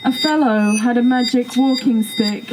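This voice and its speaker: High-voiced woman reading aloud over music. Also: moderate pace. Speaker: performer giving a lecture performance. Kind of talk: live spoken reading of stories and texts. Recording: music behind the voice.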